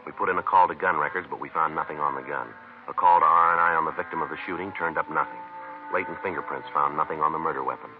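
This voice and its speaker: A stoic American police detective in an old radio crime drama, with a very deep voice and terse, matter-of-fact delivery. He moves briskly through the facts, heard through a muffled, noisy vintage recording. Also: music in the background. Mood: flat, factual. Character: stoic, clipped male police detective who narrates the case. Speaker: narrator and lead detective. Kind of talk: 1950s radio crime drama acting and scripted narration.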